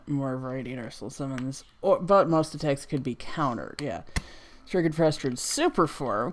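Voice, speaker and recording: A video host giving casual reaction commentary with brief, wry interjections. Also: medium pitch.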